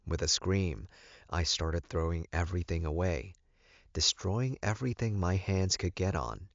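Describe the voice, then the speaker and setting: A man's voice: deep voice, unhurried, vocal fry, no echo. A man reading scripted first-person fiction as an audiobook narrator. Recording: muffled, dull, boxy recording.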